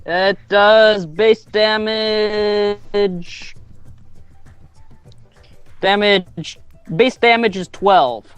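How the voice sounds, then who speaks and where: A man chatting casually as a player in a tabletop game, in a halting, hesitant way. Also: sits high, fairly even intonation, a bit bright, clean tone, no room sound, muffled recording, faint music behind. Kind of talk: casual group chat during a game.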